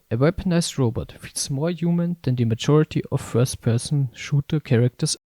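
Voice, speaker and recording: Mellow, slightly deep man narrating. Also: dry recording. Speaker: video essayist and game reviewer. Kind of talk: scripted narration.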